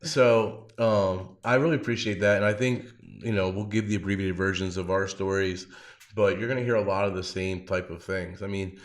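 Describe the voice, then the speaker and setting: A man's voice: sits low, steady tone, normal speed. A man hosting a podcast, telling stories in a casual, slangy way peppered with "like". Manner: informal, slangy, frequent 'like'. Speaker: podcast host. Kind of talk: casual chat, personal storytelling.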